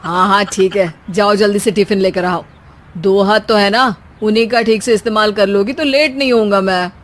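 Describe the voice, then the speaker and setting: A woman's voice: medium pitch, fairly even intonation, moderate pace, close to an everyday voice. Woman voicing a bossy teasing husband. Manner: bossy, joking, orders his wife around. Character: bossy teasing young husband, office worker. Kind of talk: cartoon voice acting.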